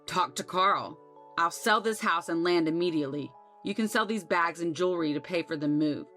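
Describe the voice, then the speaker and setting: A woman's voice: on the low side, normal speed, sharp tone, soft background music. A woman narrator voicing an indignant older father-in-law in a story, firm and snapping. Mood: stern. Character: indignant, stern father-in-law, an older man, demanding divorce.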